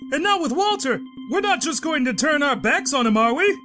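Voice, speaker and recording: A woman's voice: high-pitched, expressive, a little fast. A woman playing an anxious, pleading underling in a comic-book audio drama, with music under the voice.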